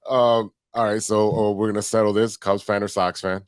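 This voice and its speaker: A man's voice: on the low side, flat delivery, moderate pace, no echo. A man with a Chicago accent hosting a podcast, chatting in an upbeat, chummy way. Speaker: podcast host. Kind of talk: casual podcast chat.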